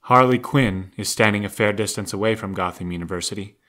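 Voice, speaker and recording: A man's voice: fairly low pitch, fairly quick, sharp tone. A man narrating a scripted audio drama in the present tense, scene by scene.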